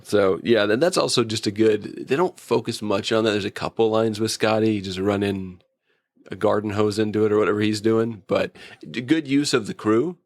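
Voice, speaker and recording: A man's voice: lowish voice, normal speed, dry recording. A man co-hosting a casual review podcast, telling things in a wry, joking, anecdotal way. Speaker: podcast co-host. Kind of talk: casual humorous review chat.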